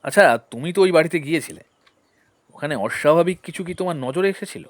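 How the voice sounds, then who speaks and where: An actor playing a shrewd, suspicious lawyer-detective in an audio drama, questioning in a measured, deliberate way. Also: male voice, on the high side, expressive, no echo, close to an everyday voice. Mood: pondering.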